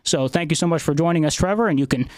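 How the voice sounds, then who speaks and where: A man's voice: a little high, quick pace, no echo. A man hosting a podcast interview, talking in a hesitant way with many fillers and restarts. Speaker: podcast host.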